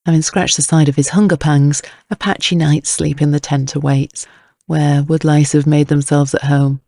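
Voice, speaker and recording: A man's voice: fairly high pitch, flat delivery, normal speed, creaky, warm tone, dry recording, clean studio sound. A man reading scripted dramatic narration as an audiobook narrator.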